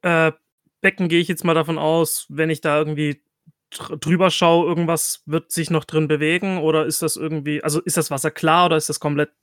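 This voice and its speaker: A man's voice: fairly high pitch, flat delivery, a little fast, a bit bright, no echo, clean studio sound. A man playing a tabletop role-playing game, chatting casually and thinking aloud, hesitant and often revising himself.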